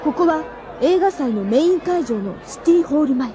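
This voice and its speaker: An actress playing an eager, cheeky high-school girl, the narrator and heroine of a radio drama. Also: lively intonation, normal speed, a crowd in the background. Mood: narrating brightly. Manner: youthful, eager, girlish.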